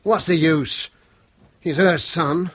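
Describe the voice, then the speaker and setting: An actor playing a placating, unassuming middle-aged chauffeur with a working-class English accent in a British radio play. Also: male voice, a little high, fairly even intonation, slow. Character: mild, deferential working-class chauffeur, conflict-avoiding family man. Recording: muffled radio drama, clear background noise.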